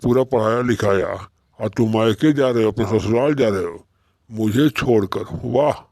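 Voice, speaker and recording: A man's voice: on the low side, normal speed, darkish tone, dry recording, clean studio sound. Man playing a reproachful, hurt old father. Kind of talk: moral-story cartoon dialogue.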